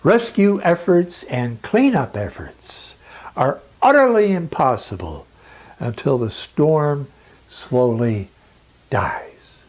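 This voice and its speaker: A speaker delivering a dramatic scripted monologue in a grave, emphatic, oratorical manner with deliberate pauses. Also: medium pitch, big pitch swings, a little mellow.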